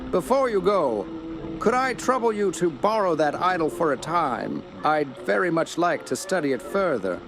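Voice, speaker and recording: Old academic, higher-pitched and creaky, politely eager, radio drama with background noise. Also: male voice, moderate pace. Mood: grateful, eager. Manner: formal, polite, animated. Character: elderly, eager, courteous male professor.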